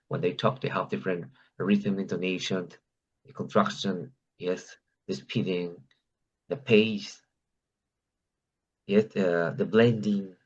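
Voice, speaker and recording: A man with a low, husky voice speaks in an online discussion. He goes haltingly, repeating words and listing points earnestly. The audio is dull and muffled. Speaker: participant in an English practice meeting.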